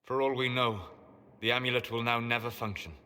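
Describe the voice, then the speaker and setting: An actor playing a cool, scholarly advisor with precise, formal wording, in a video game cutscene with a long reverb. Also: male voice, mid-range voice, flat delivery, a little fast, bright, forceful tone, clear, resonant tone, close to an everyday voice. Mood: cool, concerned. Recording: long hall reverb with ambient noise.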